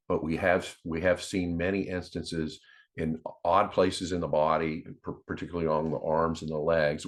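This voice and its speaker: A man with a very deep voice presents an informal demonstration. He speaks in an instructional way to his viewers, often doubling back on words as he explains how things work. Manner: halting, repeats words while explaining.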